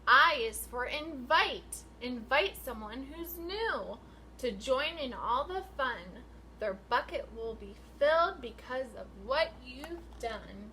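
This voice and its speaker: A woman's voice: high-pitched, lively intonation, slow pace, bright, forceful tone, a little hiss or hum, rough recording. A woman teacher reading a picture book aloud to young children in an instructional way, with questions and prompts.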